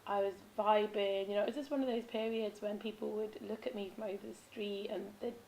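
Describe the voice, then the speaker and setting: A woman with a raspy, hoarse voice, chatting casually in a vlog about spiritual habits, with frequent ums and restarts. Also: mid-range voice, steady tone, a little fast, a little mellow, a little hiss or hum, poor audio. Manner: rambling, with many ums and self-corrections. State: hoarse, losing her voice. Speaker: vlogger.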